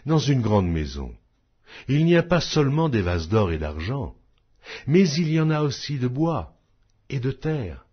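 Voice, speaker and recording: A man narrating a Bible audiobook in a solemn scripture-reading style, with measured, declamatory phrasing. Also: mid-range voice, very animated, slightly rough, dull audio.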